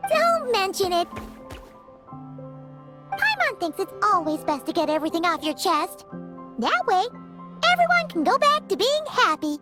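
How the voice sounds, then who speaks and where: A tiny, cheerful floating female companion, bright and bubbly in a fantasy game. Her voice sits extremely high and leaps up and down with every phrase. Soft music plays quietly behind her. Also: sing-song, unhurried, pauses often, heavily acted. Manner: cheery, refers to herself in third person. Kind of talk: video game voice acting.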